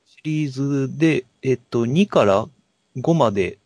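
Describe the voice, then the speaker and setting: A man co-hosting a podcast, talking casually about films and pausing to search for words. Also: mid-range voice, expressive, a little mellow, no echo. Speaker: podcast co-host. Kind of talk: casual film discussion.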